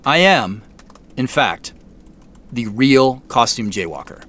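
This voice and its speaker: Earnest, expressive male executive. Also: mid-range voice, slight background noise. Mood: contrite, resolute. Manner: measured, formal speechmaking with deliberate pauses. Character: earnest, contrite male company founder and CEO addressing staff. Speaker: company founder and CEO. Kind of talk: naturalistic audio drama acting.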